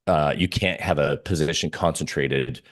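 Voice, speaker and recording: A man giving casual market commentary as an interview guest, explaining in halting phrases with frequent restarts. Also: extremely low pitch, dry recording, studio quality.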